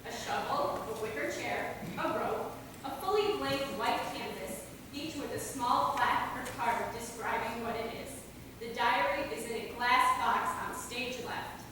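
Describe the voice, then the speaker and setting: Casting: female narrator, fairly high voice, reading stage directions in a very echoey theater. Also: unhurried. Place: theater stage, recorded from a distance. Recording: very echoey hall, far mic, noisy.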